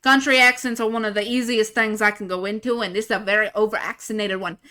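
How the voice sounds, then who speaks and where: A woman's voice: mid-range voice, steady tone, quick pace, sharp tone, natural voice. A woman putting on an exaggerated, over-accented country voice. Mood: showy. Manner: heavy drawl, overdone.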